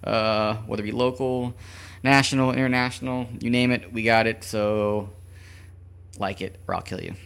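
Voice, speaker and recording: A man's voice: lowish voice, fairly even intonation, moderate pace, creaky, a bit bright, clear, resonant tone. A man hosting a casual radio-style podcast, joking crudely and rambling loosely between songs. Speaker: podcast host.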